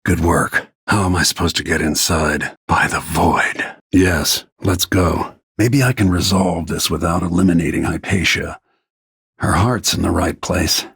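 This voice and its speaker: A grim middle-aged assassin from a video game, with a very low voice full of gravel and heavy rasp. He is weary and restrained, and delivers terse, dramatic remarks. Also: fairly even intonation, a little slow, fairly bright tone, no echo, clean studio sound, heavily acted. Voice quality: gravelly, husky. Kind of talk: scripted game voice lines, short dramatic remarks.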